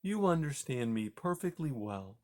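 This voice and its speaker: A man voicing a gentle young female mouse who speaks softly and earnestly. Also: mid-range voice, sing-song, moderate pace, natural voice. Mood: pleading. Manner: soft, pleading.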